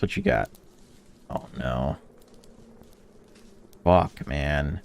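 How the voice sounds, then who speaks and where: A young man in a let's-play, reading a visual novel aloud and reacting to it, swearing freely and swinging between excited outbursts and flat reading. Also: deep voice. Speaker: gaming YouTuber.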